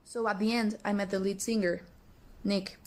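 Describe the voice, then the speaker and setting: A woman's voice: mid-range voice, fairly even intonation, moderate pace, a little mellow. A woman from Mexico casually telling an anecdote in English to camera.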